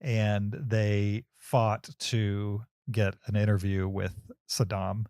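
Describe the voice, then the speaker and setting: Low, gravelly man co-hosting. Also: level tone, slow, a little mellow, dry recording, clean studio sound. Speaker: film podcast co-host. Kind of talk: casual film discussion.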